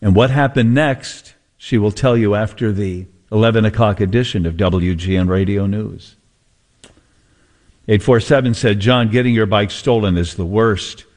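A male American radio host with a fairly low voice talks conversationally to listeners. He tells things like an unhurried storyteller, stretching phrases to build suspense. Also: a little mellow. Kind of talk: conversational radio talk, reading listener messages.

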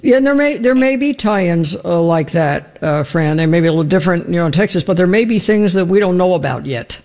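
An encouraging man hosts a call-in radio program. His voice sits high for a man, and he backs his callers up warmly. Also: lively intonation, a little fast. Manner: supportive, encouraging. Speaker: radio host.

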